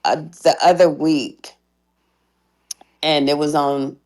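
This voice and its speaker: A woman's voice: low-pitched, steady tone, takes it slowly, a little mellow, poor audio. A woman co-hosting a podcast, joining a casual chat with teasing remarks. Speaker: podcast co-host.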